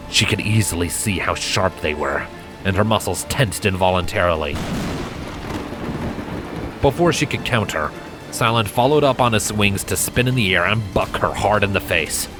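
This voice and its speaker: A man reading a dramatic fantasy story as a scripted narrator, measured and deliberate. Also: lowish voice, lively intonation, over music. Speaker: fan fiction narrator.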